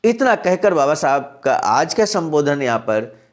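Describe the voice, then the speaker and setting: A man's voice: on the high side, sing-song, unhurried. A man presenting a channel's daily message, reading out and explaining a historical text and addressing his listeners as honored friends. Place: center in Sarnath, Varanasi.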